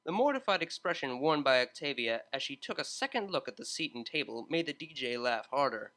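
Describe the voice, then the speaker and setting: Male audiobook narrator, measured and steady, bright voice, mid pitch.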